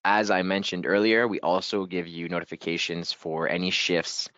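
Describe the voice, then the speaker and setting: A man leading a software training webinar in a casual, upbeat way, a little hoarse and clearing his throat. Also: deep voice, little pitch movement, moderate pace, no echo, highs cut off. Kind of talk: webinar screen-share walkthrough.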